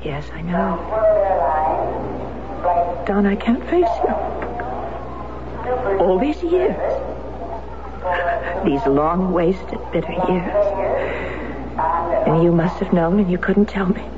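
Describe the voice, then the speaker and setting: An actress playing a guilt-stricken wife in a 1970s radio drama, speaking haltingly and emotionally. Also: female voice, on the low side, lively intonation, a loud crowd. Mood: remorseful. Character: grieving wife meeting her husband, guilt-stricken. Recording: dull lo-fi radio recording.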